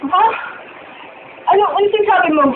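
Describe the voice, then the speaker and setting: A hounded young Filipino woman acted by a teenage girl in an amateur horror phone scene. Her voice is extremely high and quick, over a tinny, echoey line. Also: noisy background. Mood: frightened. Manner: pleading, repetitive, agitated.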